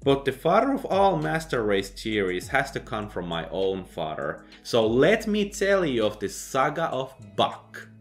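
A man narrating a sardonic book review, dry and mocking with sudden loud outbursts. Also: mid-range voice, huge pitch swings, a little slow, hardly any pauses, soft background music. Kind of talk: sardonic video essay narration.

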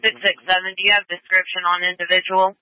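A woman working as a police dispatcher, reading back coded messages over the radio in a flat, clipped way. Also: lowish voice, fast, no echo, low-quality recording. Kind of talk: police dispatch read-backs. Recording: dry police scanner radio audio.

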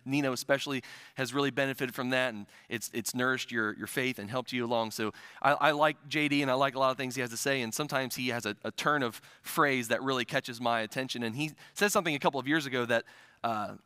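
A man in his forties, a pastor from the Midwest, preaching a sermon at a church pulpit on a headset mic, swelling loud and dropping to soft asides. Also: mid-range voice, clear, resonant tone, no echo. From American Midwest. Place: church sanctuary stage at a pulpit.

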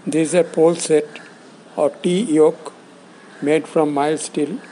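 A man with a fairly high, mellow voice narrates an instructional script. He explains things in short, measured phrases, as an instructor would. There is faint noise in the recording. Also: steady tone, poor audio. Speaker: instructor presenting components.

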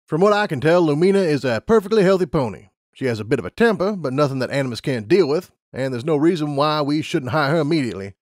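A brisk male lab scientist from a pony storybook, acted by a man. He speaks a little high, with lively swings of pitch. His manner is enthusiastic, emphatic and matter-of-fact, in a dry recording. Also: dry recording, close to an everyday voice. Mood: assured. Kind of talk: acted audiobook character.

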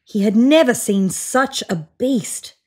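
A woman in her thirties reading a children's picture book aloud on a sofa, with voiced sound effects and hushed whispers. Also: fairly high pitch, expressive, slow, breathy edge. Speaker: storybook reader. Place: indoors on a sofa.

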